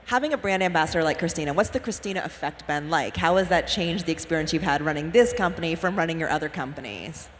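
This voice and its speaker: A woman's voice: sits low, expressive, fast, few pauses. Upbeat woman moderator on a big conference stage, echoing hall. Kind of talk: panel moderation. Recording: hall reverb, faint ambience.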